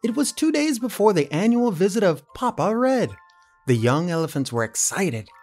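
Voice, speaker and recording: A man reading a children's picture book aloud, playful and animated. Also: high voice, normal speed, soft background music. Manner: animated, playful voices for characters. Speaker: puppet show host reading aloud.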